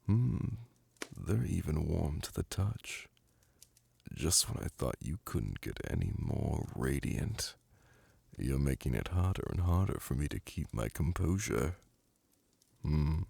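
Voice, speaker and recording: A man playing a very deep, gravelly demon prince. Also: big pitch swings, slow, long pauses, mellow, dry recording, exaggerated voice. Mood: seductive, teasing. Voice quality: gravelly, breathy. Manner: languid, purring, imperious endearments. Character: suave, flirtatious demon prince, lordly and teasing. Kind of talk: ASMR roleplay audio drama. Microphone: close, dry microphone.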